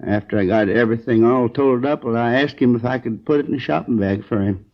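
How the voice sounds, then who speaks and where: A gravelly old American man in his sixties played by a radio actor, a hurt and drowsy storekeeper. He rambles in a halting, folksy, earnest way, on a dull 1950s crime drama recording. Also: mid-range voice, natural voice. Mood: earnest, weary. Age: 60s. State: groggy, in pain.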